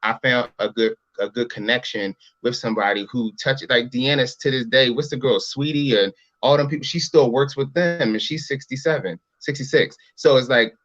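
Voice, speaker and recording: A man's voice: medium pitch, moderate pace, hardly any pauses, a bit bright, dry recording. Rambling man in his thirties, dry and dull sound. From Philadelphia. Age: 30s. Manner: rambling, repeats "you know what I'm saying". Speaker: interview guest, brand entrepreneur. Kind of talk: casual interview talk.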